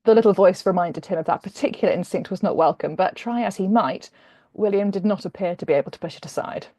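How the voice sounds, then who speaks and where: A British woman speaking as a guest author, conversational and expressive as she introduces and reads fiction. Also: medium pitch, fast, no echo. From Britain.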